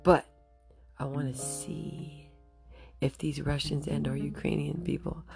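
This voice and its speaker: A woman hosting her own podcast, speaking in a rambling, casual monologue with a raspy voice she is losing, and breaking into nervous laughs. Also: deep voice, little pitch movement, unhurried, over music. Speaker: podcast host.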